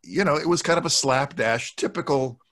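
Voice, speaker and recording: A man in his sixties talking as a podcast guest in a casual, thoughtful, halting way, close to a desk microphone at home. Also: a little high, a bit bright. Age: 60s. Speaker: podcast guest, radio show producer. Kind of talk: casual discussion of old radio and film. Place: home room, video call. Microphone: large desk microphone, close.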